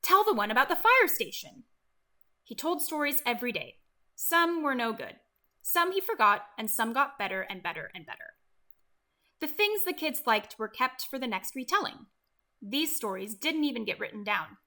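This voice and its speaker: A woman narrating a scripted read-along for children as an expressive storyteller. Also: on the high side, moderate pace.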